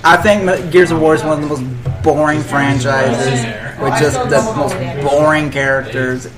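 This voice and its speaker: A man's voice: on the high side, fairly even intonation, a little slow, music in the background. A man hosting a podcast chat, blunt and opinionated but feeling for his words. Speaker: podcast host. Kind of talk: casual chat. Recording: loud crowd noise around the voice. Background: loud crowd chatter.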